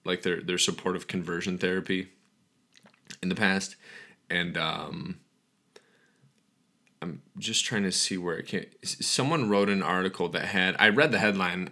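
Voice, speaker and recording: A young man talks through his week on a solo podcast, his voice still groggy from just waking up. His voice sits very low yet sounds bright and forward. He rambles freely, filling pauses with ums and restarting his sentences. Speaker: podcast host. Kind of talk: casual solo podcast talk.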